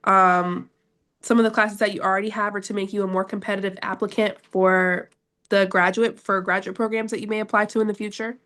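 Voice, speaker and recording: A woman's voice: medium pitch, flat delivery, brisk, a bit bright. A woman politely putting questions to presenters as a prospective applicant at an information session.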